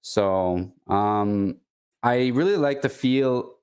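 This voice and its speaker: Quebecois man speaking in halting restarts. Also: medium pitch, darkish tone, smooth. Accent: Quebecois French. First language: French. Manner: halting, many restarts and fillers. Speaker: guest. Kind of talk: casual chat.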